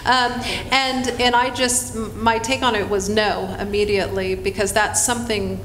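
A woman on a conference panel, speaking in a halting, searching, earnest way about her own experience. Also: mid-range voice, flat delivery, fairly bright tone. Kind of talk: personal reflection on a panel. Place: hotel conference room. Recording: roomy hall with faint noise.

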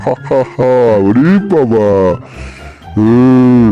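A man's voice: mid-range voice, extremely slow, lo-fi sound, faint music behind. A man voicing an angry bulldog father in an amateur cartoon dub, blustering and menacing. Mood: gloating threats.

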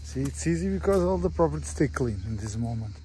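Portuguese guy doing a property tour outside, mellow voice, halting english, bit of background noise. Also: mid-range voice, very animated. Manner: halting, simple English with short phrases. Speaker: real estate agent. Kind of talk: informal property walkthrough. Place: outdoors on a rural farm. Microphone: handheld phone or camera held by speaker. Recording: outdoor handheld recording with faint background noise.

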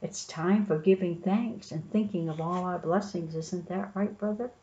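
A woman's voice: on the low side, fairly even intonation, normal speed, warm tone, voice pushed down, natural voice. A woman voicing a genial, food-loving father bear who explains things patiently in a children's storybook. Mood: gently instructive. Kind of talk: storybook read-aloud. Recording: echoey room, slightly muffled.

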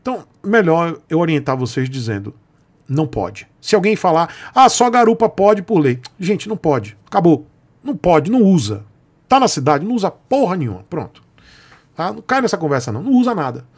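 A Brazilian man in his forties gives casual advice to viewers in a somewhat high-pitched voice. He is blunt and emphatic, hammering short colloquial phrases like a host answering questions. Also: sing-song, a little fast, breathy edge. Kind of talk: casual advice chat to camera. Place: small home room, indoors.

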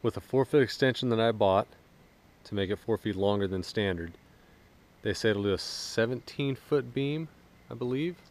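A man in his thirties giving a relaxed, casual walkthrough of equipment outdoors in a wooded yard, filming himself. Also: lowish voice, normal speed. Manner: relaxed, explanatory, joking. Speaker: vlogger.

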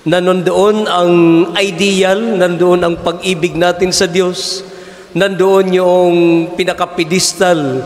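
A Filipino priest in his forties preaching a homily at a lectern mic, measured and asking rhetorical questions. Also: high-pitched. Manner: measured, asks rhetorical questions, mixes in English terms. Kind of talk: sermon. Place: large church, indoors. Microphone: stand mic at lectern. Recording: echoey church with long reverb.

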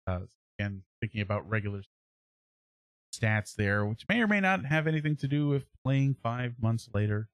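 A man in his thirties hosting a sports podcast, giving casual analysis in a halting way as he thinks aloud. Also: medium pitch, lively intonation, no echo. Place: small indoor room at home, on a livestream webcam.

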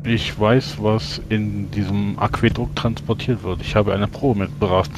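Halting man playing a tabletop role-playing game. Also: fairly low pitch, steady tone, noisy background. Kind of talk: improvised in-character play, narrating own actions.

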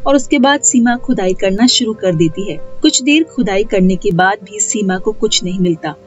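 A woman's voice: mid-range voice, lively intonation, moderate pace, highs cut off, faint music behind. A woman narrating a scripted moral story as a storyteller.

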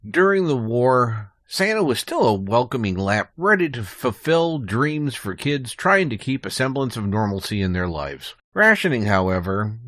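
A man's voice: mid-range voice, a bit bright, no echo, studio quality. A man speaking as a podcast guest, giving an explanatory history talk in an interview. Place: small recording booth.

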